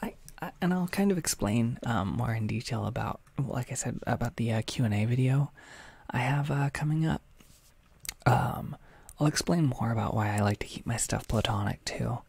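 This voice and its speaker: A man hosting a relaxed livestream, talking softly and slipping into half-whispers, halting with fillers, his nose a little stuffy. He speaks close to the microphone. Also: medium pitch, creaky, slightly rough, no echo. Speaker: ASMR audio creator hosting a livestream. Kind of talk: soft-spoken casual livestream chat, reading chat.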